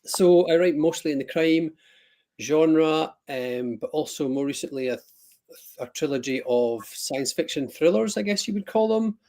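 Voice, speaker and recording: A man's voice: a little high, lively intonation, unhurried, darkish tone. Scottish man with a raised voice. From Scotland. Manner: rambling, self-deprecating, many ums and restarts. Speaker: author guest. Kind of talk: casual live-stream chat.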